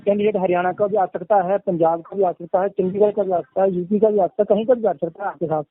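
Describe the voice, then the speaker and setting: High-pitched, blunt North Indian man on phone. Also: steady tone, fast talker, no room sound. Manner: blunt, emphatic, repeats himself. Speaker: official answering an enquiry call. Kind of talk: informal phone conversation. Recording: tinny phone line, faint noise.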